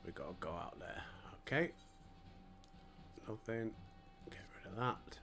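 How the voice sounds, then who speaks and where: Slow-talking older Yorkshire man. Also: fairly low pitch, animated, gravelly, a bit bright, soft background music. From Yorkshire, England. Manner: chatty, self-deprecating, talks to himself while playing. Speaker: gaming streamer. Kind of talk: relaxed gameplay commentary. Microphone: headset mic.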